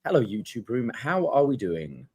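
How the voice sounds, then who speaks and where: A man with a mid-pitched voice that moves up and down in a lively way. He co-hosts a podcast in casual chat, pausing a little as he finds his words. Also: slightly rough, dry recording. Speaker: podcast co-host.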